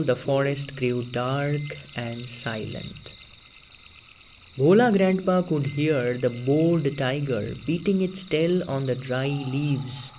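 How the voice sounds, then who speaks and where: A man reading a story aloud, slow and deliberate, sinking lower for the tense moments. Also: background noise. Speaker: story reader. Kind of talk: storybook read-aloud narration.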